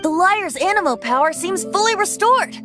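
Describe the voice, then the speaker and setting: High, lilting young bard boy. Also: expressive, moderate pace, over music. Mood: thoughtful. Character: playful androgynous young bard boy, theatrical and whimsical. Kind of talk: video game voice acting.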